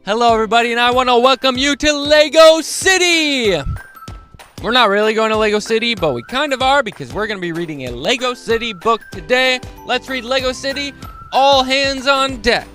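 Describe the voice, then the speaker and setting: A man hosting a children's read-along, reading picture books in a playful, expressive way with asides to the young listeners. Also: very high voice, soft background music. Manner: playful, expressive, drawn-out delivery.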